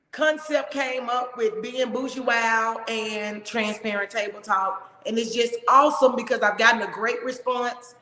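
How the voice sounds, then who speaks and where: A woman hosting a casual talk show, with a big, loud voice and an emphatic, expressive way of talking. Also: sits high. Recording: echoey room with long reverb.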